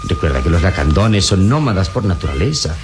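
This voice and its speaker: An actor playing a calm, wise and fearless adventurer hero in a radio serial, speaking in a measured, reassuring, paternal way. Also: male voice, low voice. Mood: calm, explanatory. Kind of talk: Latin American radio serial acting. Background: dramatic background music.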